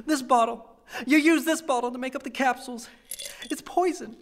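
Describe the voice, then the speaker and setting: A man's voice: extremely high pitch, moderate pace. A man playing an earnest, frightened young shop boy in a radio play, speaking in a pleading manner.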